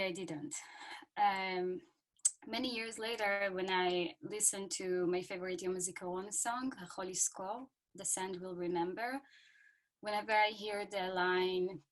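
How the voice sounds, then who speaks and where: A woman from Israel with an Israeli accent, speaking in a reflective, hesitant way on a video call and pausing often with ums. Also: medium pitch, low-quality recording. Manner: hesitant, many ums, reads a quote aloud. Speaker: guest speaker.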